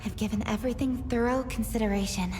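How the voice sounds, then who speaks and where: A woman's voice: a little high, fairly even intonation, fast, close to an everyday voice. A woman voicing an earnest, self-assured young government official in a video game, polite and precise. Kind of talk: video game cutscene voice acting. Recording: prominent game music behind the voice.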